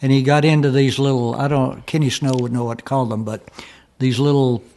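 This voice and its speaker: A man's voice: medium pitch, steady tone, brisk, darkish tone. A man, a musician guest, telling stories in a casual, rambling chat and searching for his words.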